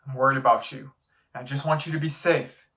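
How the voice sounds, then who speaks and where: A concerned, somewhat frustrated doctor, played by a man in an audio drama. He has a middle-pitched voice and an earnest, pressing delivery. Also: fairly even intonation, brisk, sharp tone. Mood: earnest, worried.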